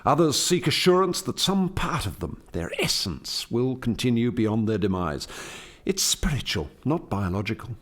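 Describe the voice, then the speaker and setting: A man's voice: medium pitch, big pitch swings, moderate pace. A man playing an earnest, reflective alien scientist in a radio play, explaining things in formal words. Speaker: lead scientist.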